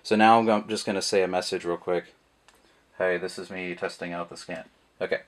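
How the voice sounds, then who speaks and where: Deep-voiced guy casually walking through a gadget demo, lots of ums and uhs, camera mic. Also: a little fast. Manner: relaxed, rambling with many fillers. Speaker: product reviewer. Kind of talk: casual how-to demonstration. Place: indoors at a table, voice behind the camera.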